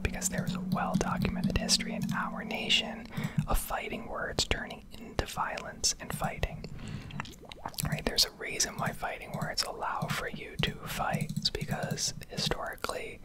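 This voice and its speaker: A young woman whispers throughout in a breathy, unvoiced ASMR style, close to the mic. She rambles through an opinionated rant over gameplay, swearing freely and pausing to find her words. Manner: profane, opinionated, hesitant pauses. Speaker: ASMR gaming streamer.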